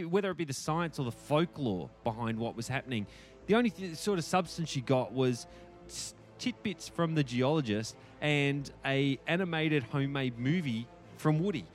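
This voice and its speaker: A man with a fairly high-pitched voice talks through a film review as a podcast co-host. He rambles thoughtfully and hedges as he goes. Soft music plays faintly behind him. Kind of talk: casual movie review chat.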